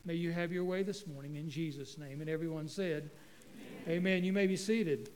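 A middle-aged guest pastor from North Carolina, preaching in a folksy, joking way with stumbling restarts. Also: male voice, on the high side, fairly even intonation, fairly quick, darkish tone, in a large hall, a little hiss or hum, low-quality recording. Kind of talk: sermon. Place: church sanctuary. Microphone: handheld microphone.

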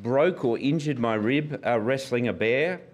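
A middle-aged man, a senior minister preaching a relaxed sermon in a slightly croaky voice. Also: medium pitch, measured pace. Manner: relaxed, self-deprecating, with fillers.

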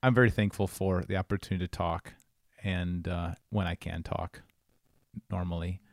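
Man, low voice, dry close sound, casual podcast co-host, conversational explaining. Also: steady tone, moderate pace, vocal fry, no echo.

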